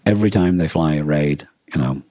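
A man co-hosting a podcast in reflective conversation, speaking earnestly in halting, emphatic phrases. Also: very deep voice, mellow, dry recording, clean studio sound. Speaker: podcast co-host.

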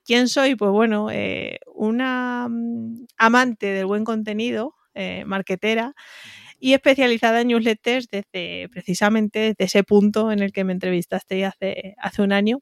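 A woman's voice: medium pitch, fairly even intonation, measured pace, gravelly, clean tone, no echo, studio quality. A young woman from Spain with a southern Spanish accent, a guest chatting casually in an interview, laughing easily and using many fillers. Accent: southern Spanish, between Extremadura and Córdoba. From Spain, near Extremadura and Córdoba. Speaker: guest, newsletter and content marketer.

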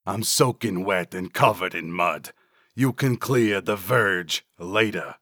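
A man's voice: low-pitched, slow, fairly bright tone, no room sound, clean studio sound, heavily acted. A man voicing a stunted, irritable male schemer in an audiobook, with a gargling, curt, evasive manner. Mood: irritated. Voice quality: gargling, throaty. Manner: grumbling, curt, sneering.

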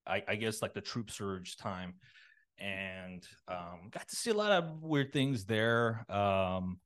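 A man from a Los Angeles suburb, likely in his thirties, talking as a podcast guest in a halting, rambling way full of fillers and restarts. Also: fairly low pitch, a bit bright. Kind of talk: casual interview talk.

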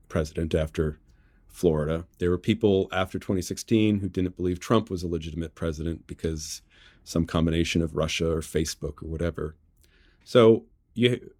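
An American man giving political commentary as an interview guest, measured and halting as he restarts phrases to qualify his points. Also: sits low, darkish tone, dry recording, clean studio sound. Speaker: interview guest, journalist and author.

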